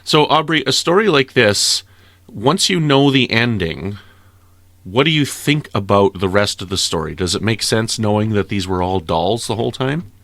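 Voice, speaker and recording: A man in a casual interview-style discussion, explaining patiently and asking gentle questions. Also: on the low side, animated, normal speed, no room sound. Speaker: guest commentator, interviewer.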